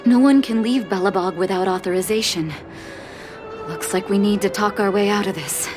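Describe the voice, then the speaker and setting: A woman voicing a gentle, resolute doctor and leader in a video game, soft, measured and thoughtful. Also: medium pitch, over music, close to an everyday voice. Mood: composed, curious.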